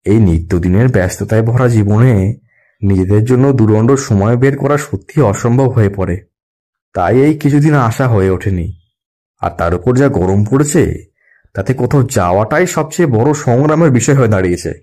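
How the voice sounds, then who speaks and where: Male narrator, mid-pitched, warm relaxed timbre, scripted first-person story reading. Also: moderate pace.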